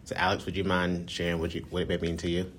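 A man with an American accent and a low voice hosts a casual group discussion. He moderates and introduces questions in a conversational way. There is some background noise on the recording. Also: monotone, quick pace, fairly bright tone. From United States. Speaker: podcast host.

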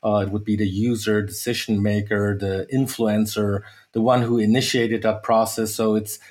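Low-voiced man, measured podcast guest. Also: level tone, a little mellow. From United States. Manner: measured, many fillers and restarts. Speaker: guest, sales executive. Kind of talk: podcast interview.

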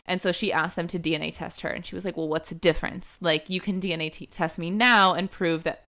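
A woman's voice: on the low side, fairly even intonation, fast talker, pushed and bright. A young woman hosting a true-crime podcast, telling a case in a casual chatty way, full of "like" and "um" fillers. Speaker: podcast host.

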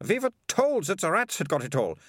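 A man reading aloud and voicing a bossy, excitable young girl who talks in a brisk, self-assured way. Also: high-pitched, no echo, clean studio sound, pitched above the performer's own voice, full character voice.

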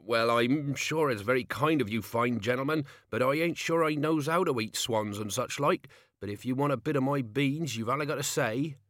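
A man's voice: medium pitch, moderate pace, hardly any pauses, fairly bright tone, dry recording, studio quality, pitched above the performer's own voice, close to an everyday voice. A man voicing a humble, embarrassed old peasant in a story, with timid, mumbling rustic speech.

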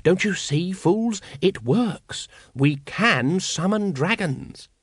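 A man's voice: sits high, expressive, breathy edge, dry recording, studio quality, voice pushed up, exaggerated voice. A man voicing a pompous, scheming cult leader in an audiobook, commanding and impatient, stretching words for emphasis. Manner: commanding, hissing, drawn-out emphasis. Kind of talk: audiobook character acting.

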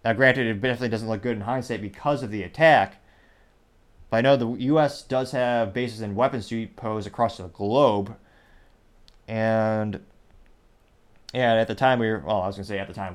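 A man hosts his own commentary show, his voice sitting at an everyday middle pitch. He rambles, doubles back and corrects himself mid-sentence. It is captured on a small USB microphone at a home setup. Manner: rambling, self-correcting, mentions stuttering and speaking fast. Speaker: show host.

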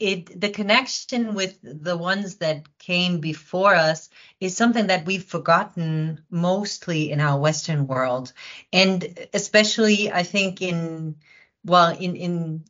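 A woman's voice: lowish voice, a bit bright, dull audio. A woman, a therapist, interviewing on a podcast and often repeating words as she searches for them.

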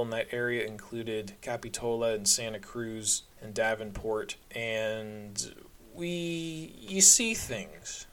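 A man's voice: mid-range voice, fairly bright tone. A man recounting his own experiences in a candid, conversational storytelling style with a wry sense of humor. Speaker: podcast narrator. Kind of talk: casual personal storytelling.

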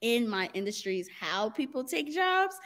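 A woman's voice: a little high, sing-song, unhurried, fairly bright tone. A young adult woman, a dancer and model, talking candidly as a guest in a casual interview, often laughing and using "y'all" and "like.".

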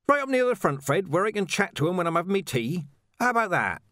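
A man's voice: mid-range voice, expressive, normal speed, a bit bright, no echo, studio quality, pitched above the performer's own voice. A man voicing a morbid, sulky, pleading gravedigger in an audiobook. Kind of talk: audiobook character voice.

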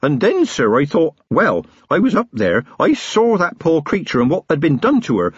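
Man voicing an eager, high-pitched young country constable. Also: slightly rough, dry recording, highs cut off, exaggerated voice. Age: young adult. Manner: earnest, rambling, anxiously deferential. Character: eager, naive, deferential young country policeman.

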